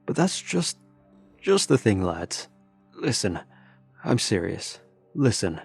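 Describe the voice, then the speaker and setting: A man's voice: fairly low pitch, sing-song, normal speed, gravelly, a little mellow, husky, heavily acted. Drunk British pal, voiced by a male narrator, for audio fiction: chummy, slurred, cocky and emphatic, over music. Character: cheerful drunken British man, blokey and boastful.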